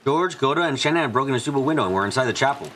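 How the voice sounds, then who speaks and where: A man reads a visual novel story aloud at a quick clip. His pitch is medium, and there is faint noise behind the voice. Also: expressive, a bit bright. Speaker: fan dub reader and narrator. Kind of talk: read-aloud game narration.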